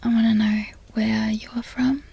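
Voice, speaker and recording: A woman with an Australian accent, an ASMR creator softly whispering repeated trigger words. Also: a little high, little pitch movement, unhurried, vocal fry, husky.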